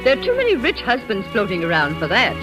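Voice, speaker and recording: An old radio recording with music behind. a gushing Victorian society matchmaker, insistent, with a lively, swooping mid-range voice. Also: female voice, mid-range voice, fast talker, low-quality recording. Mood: coaxing. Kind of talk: 1940s radio acting.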